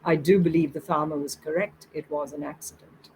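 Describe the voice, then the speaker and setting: A woman voicing a calm, wise old male samurai with a measured, dignified delivery. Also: deep voice, a little hiss or hum, low-quality recording. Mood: quietly firm.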